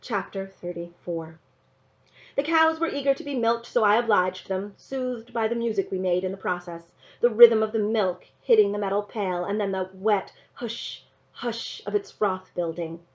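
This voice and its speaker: A woman reading a children's novel aloud in an expressive narrating style. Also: medium pitch, normal speed. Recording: slightly dull, lo-fi sound.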